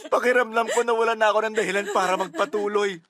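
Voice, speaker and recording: A man's voice: sits high, fairly even intonation, fast, a bit bright. A man acting a grieving, bitter husband and father in a Filipino radio drama, tearful and heated. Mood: despairing, tearful.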